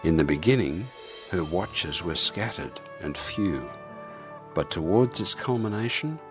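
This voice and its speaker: A man with a very low voice presents like a theatrical radio host. His scripted delivery has the shape of storytelling, and music plays clearly behind him. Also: expressive, a little slow. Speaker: radio program host and narrator.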